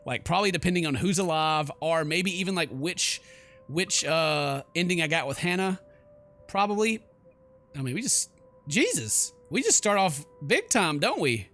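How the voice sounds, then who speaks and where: A man's voice: on the high side, big pitch swings, normal speed, pushed and bright. A man doing goofy, slangy let's play commentary, reading game text aloud with theatrical exclamations. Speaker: gaming YouTuber.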